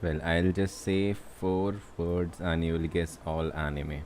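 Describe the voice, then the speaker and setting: A man's voice: deep voice, steady tone, slow, a little mellow, slight background noise. A young man with an Indian accent chatting casually as he livestreams a game. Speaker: game streamer. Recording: game music behind the voice. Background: video game music.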